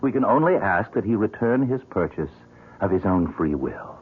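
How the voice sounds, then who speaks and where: Deep, sly, suave devil. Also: male voice, animated, husky. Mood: suave, knowing. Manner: formal, pompous, unhurried. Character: sly, suave devil posing as a businessman, menacingly courteous. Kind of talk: 1970s radio mystery drama acting. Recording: tinny, band-limited old radio, faint noise.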